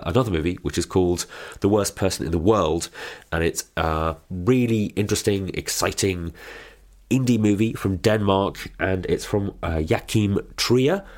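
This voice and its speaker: A man hosting a podcast, hoarse and croaky from a cold, chatting casually and poking fun at himself. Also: deep voice. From Britain. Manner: chatty and self-mocking. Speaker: podcast host. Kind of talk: casual chat.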